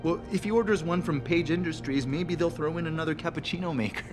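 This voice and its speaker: An actor playing a wry, analytical lab researcher in a video game. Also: male voice, fairly high pitch, fast talker, lightly acted. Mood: wry, thoughtful. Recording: game audio with music behind.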